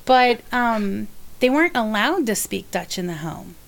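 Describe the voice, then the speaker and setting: A woman talks in a relaxed group chat at a middling pitch. She is thoughtful, speeding up and slowing down as she works through her ideas, with the odd filler along the way. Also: vocal fry, heavy background noise. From United States. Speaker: podcast co-host who teaches spinning.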